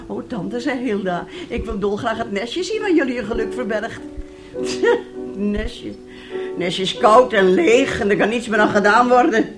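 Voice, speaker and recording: A woman's voice: fairly high pitch, a little slow, over music, lightly acted. An actress in a radio drama playing a bitter, sarcastic middle-aged wife and mother who talks reproachfully and theatrically. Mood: bitter, exasperated. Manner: reproachful, sarcastic, dramatic, swinging between hushed and loud. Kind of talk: radio drama acting in Dutch.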